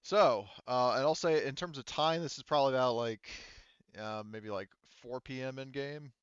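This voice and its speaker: A man's voice: medium pitch, moderate pace, clean tone, no echo, highs cut off. A man acting as game master, casually moderating a tabletop game and hesitantly checking in with his players.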